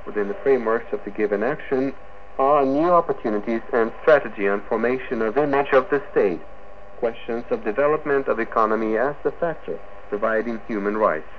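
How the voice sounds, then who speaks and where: A man with an Eastern European accent reads a scripted radio news bulletin in formal, halting phrases over a shortwave broadcast. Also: mid-range voice, muffled recording, a little hiss or hum. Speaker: radio newsreader.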